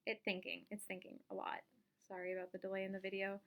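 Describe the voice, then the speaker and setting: A woman giving a casual step-by-step software tutorial, thinking aloud as she demonstrates each action. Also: medium pitch, monotone, fast talker. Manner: informal, instructional, thinking aloud while demonstrating. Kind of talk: casual step-by-step screen-recorded lesson.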